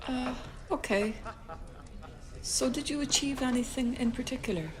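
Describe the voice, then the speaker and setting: A woman's voice: sits high, moderate pace, background noise, lightly acted. A woman playing a guarded, puzzled serving maid in a radio play, hesitant and questioning. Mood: polite, puzzled.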